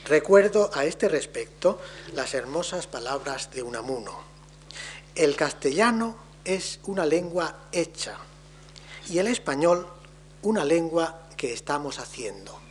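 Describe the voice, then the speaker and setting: A man delivering a formal academic lecture on linguistics in a measured, deliberate way, his phrases trailing off softly. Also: fairly high pitch, sing-song, a little hiss or hum.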